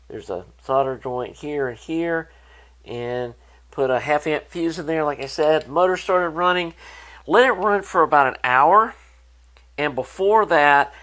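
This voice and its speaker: Man, somewhat high pitch, informal repair walkthrough, hobbyist presenter, conversational, unscripted explaining. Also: fairly bright tone.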